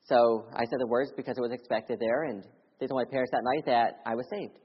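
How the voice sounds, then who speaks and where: Young guy from philly preaching, husky voice, goes loud then real quiet, long echo in a church, kinda dull sound. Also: fairly even intonation, a little fast. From Philadelphia. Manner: earnest, swings between loud urgency and quiet hushed lows. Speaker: missionary preacher. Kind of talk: sermon with personal testimony. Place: church sanctuary.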